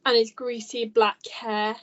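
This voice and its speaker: A woman's voice: on the high side, little pitch movement, unhurried, fairly bright tone. A woman playing a scornful, possessive jilted woman in a melodramatic radio play. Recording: dull lo-fi recording.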